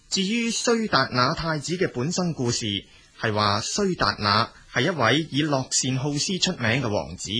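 A man's voice: mid-range voice, pushed and bright, slightly rough. A man with a Cantonese accent narrating a scripted story as a storyteller.

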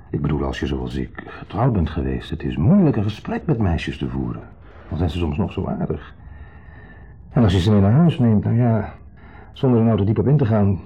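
A man's voice: lowish voice, husky. An actor playing a lonely, needy man in a radio drama, insistently friendly and confessional, swinging between eager and downcast. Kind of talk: 1970s radio drama acting. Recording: dull 1970s radio recording.

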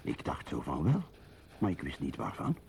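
An actor playing a brooding, lonely middle-aged husband in a radio drama, musing and questioning himself wryly. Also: male voice, rumbling low, wildly swooping pitch, moderate pace, vocal fry, darkish tone, a little hiss or hum, heavily acted. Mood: dazed. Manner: musing, self-questioning, wry.

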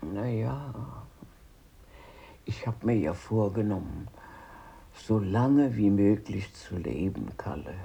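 Husky, slow-speaking old grandmother. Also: very deep voice, expressive, full character voice. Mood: earnest, subdued. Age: older. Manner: gentle, sighing, chuckling delivery. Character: warm, frail old grandmother raising her grandson. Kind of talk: German radio play acting.

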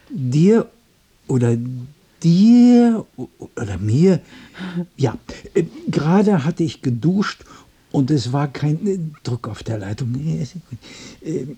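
An actor playing a lonely, muddled, touchy man in a radio play, rambling in a halting, repetitive, nervous way. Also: mid-range voice, dark tone, slightly rough, exaggerated voice. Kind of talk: German radio play acting.

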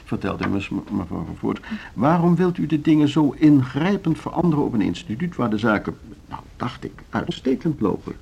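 Formal board chairman, gravelly, expressive. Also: medium pitch, a little hiss or hum. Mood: courteously firm. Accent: Netherlands Dutch. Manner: formal, deliberate, courteous but unbending. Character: measured, legalistic male board chairman of a music school. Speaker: radio drama actor. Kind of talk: Dutch radio play dialogue.

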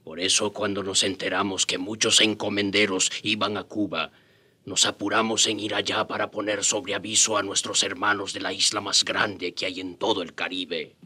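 A man's voice: lowish voice, level tone, moderate pace, sharp tone, slightly rough, full character voice. An actor narrating a radio drama as a dignified Taíno man recounting his people's history in a solemn storytelling manner.

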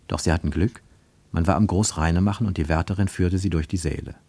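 Male radio-drama narrator, lowish pitch, measured and literary, dry studio read. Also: gravelly, mellow, slightly rough, no echo. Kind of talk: scripted radio-play narration.

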